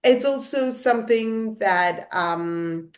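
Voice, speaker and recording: A woman's voice: fairly high pitch, takes it slowly. Young woman from South Africa, guest on a webinar, laughs easily, explains with energy. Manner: laughs often, lively and explanatory. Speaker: guest author. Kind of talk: webinar conversation.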